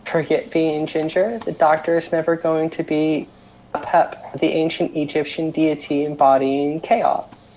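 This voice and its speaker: A woman's voice: deep voice, level tone, measured pace, warm tone, dull audio, slight background noise. A woman reading party game cards aloud during casual play. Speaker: card game player.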